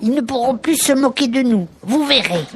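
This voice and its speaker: A woman's voice: high-pitched, lively intonation, moderate pace, slight background noise. A woman voicing an earnest, plucky young animal schoolchild in a children's audio story.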